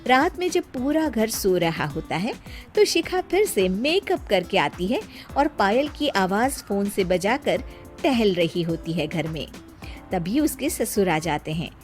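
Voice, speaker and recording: A woman reading scripted story narration as the narrator of a family tale. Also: a little high.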